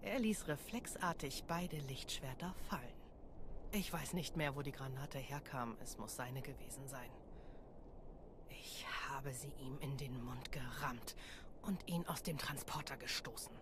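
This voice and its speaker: A woman's voice: extremely low pitch, a little slow, noisy background. An actress playing a tough, battle-hardened female Jedi warrior in a video game, terse, dry and matter-of-fact. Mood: grim, subdued. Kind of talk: video game cutscene acting.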